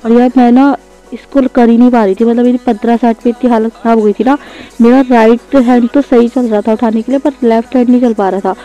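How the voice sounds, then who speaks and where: A woman's voice: on the high side, steady tone, fast, mellow, low-quality recording. A woman, giving a casual, chatty voice-over for a daily vlog. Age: 20s. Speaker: vlogger. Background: faint music.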